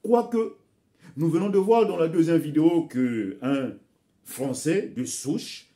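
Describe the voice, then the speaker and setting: Exhorting man, mellow voice. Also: on the high side, takes it slowly. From Congo. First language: Bembe. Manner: exhorting, addresses listeners as brothers and sisters; repeats words while searching for phrasing. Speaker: vlogger addressing his viewers. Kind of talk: impassioned direct-to-camera commentary.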